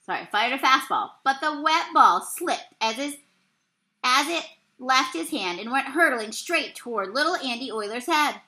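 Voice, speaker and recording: A woman's voice: high-pitched, moderate pace. A young woman reading a picture book aloud expressively, as a teacher would, recorded on a computer mic in a room. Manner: animated storyteller with exclaimed dialogue. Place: small indoor room.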